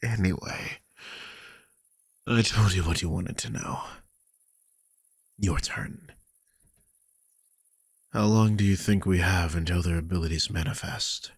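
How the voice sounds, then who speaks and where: A man's voice: deep voice, fairly even intonation, slow pace, long pauses, no echo, clean studio sound, exaggerated voice. A man playing a worried, guilty vampire king who is protective of his charge, confiding wearily in an audio roleplay. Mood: worried, urgent. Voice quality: husky, breathy. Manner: confiding, weary, sighing, swears. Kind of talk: dramatic audio roleplay, one side of a conversation.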